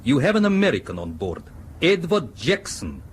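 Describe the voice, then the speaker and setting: An actor in a radio drama playing a suspicious foreign ship's captain and scientist who speaks in a measured, probing, ironic way. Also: male voice, a little high, wildly swooping pitch, slight background noise. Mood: cautious, accusing.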